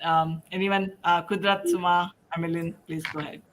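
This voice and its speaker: A woman hosting an informal panel, speaking in a halting way and often breaking toward a laugh. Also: fairly low pitch, flat delivery, a little hiss or hum. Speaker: podcast host and panel moderator.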